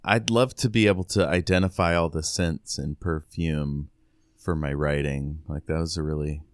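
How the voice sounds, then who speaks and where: A man's voice: extremely low pitch, steady tone, normal speed, dry recording. A man co-hosting a podcast, talking casually about a book in a laid-back way. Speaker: podcast co-host.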